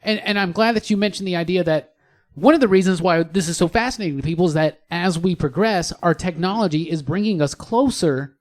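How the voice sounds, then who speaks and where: A man's voice: high-pitched, brisk. A man hosting a casual podcast discussion, inquisitive and often checking that others follow him. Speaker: podcast host.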